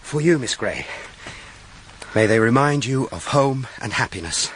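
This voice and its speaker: A man's voice: mid-range voice, animated, measured pace, fairly bright tone. An actor playing a courteous, wry young country clergyman in a period audio drama. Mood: earnest, tender. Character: gallant, teasing, earnest country clergyman. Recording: rain noise behind the voice.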